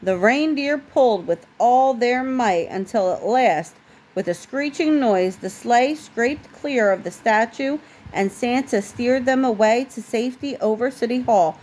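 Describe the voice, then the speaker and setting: A woman who is a parent reading a storybook aloud in an expressive way, with casual asides. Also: fairly high pitch, low-quality recording.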